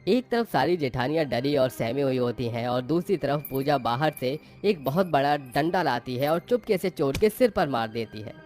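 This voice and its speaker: A man narrates a story from a script. His voice sits at a middling pitch, with music playing faintly behind it. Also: expressive, normal speed, few pauses, a little mellow.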